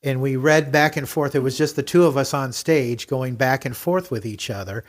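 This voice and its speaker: A man's voice: medium pitch, normal speed. An older man hosting a casual podcast interview, chatty and encouraging with small affirming interjections. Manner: chatty, affirming with frequent backchannels. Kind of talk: casual radio-style interview chat.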